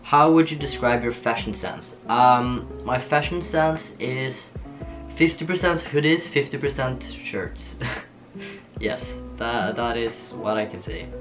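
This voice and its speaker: A young man from Norway with a Norwegian accent, chatting casually to camera in a small home studio, laughing often and pausing with ums. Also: mid-range voice. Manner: relaxed, giggly, lots of ums and restarts. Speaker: vlogger. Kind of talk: casual get-to-know-me chat. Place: small bedroom studio with shelves and posters. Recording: soft guitar music underneath.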